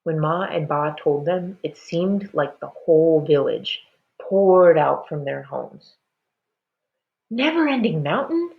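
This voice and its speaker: A woman reading a children's story aloud in an expressive, dramatic, deliberate way. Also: sits low, gravelly, highs cut off.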